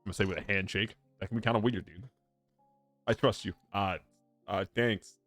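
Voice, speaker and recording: A man's voice: fairly low pitch, brisk, fairly bright tone, no room sound. A man streaming a video game playthrough with casual, slangy, teasing commentary. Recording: game music behind voice. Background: game music.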